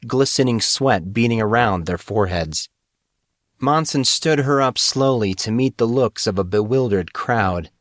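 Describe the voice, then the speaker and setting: A man's voice: mid-range voice, dry recording, clean studio sound. A man reading scripted fantasy fiction as the narrator of a story podcast.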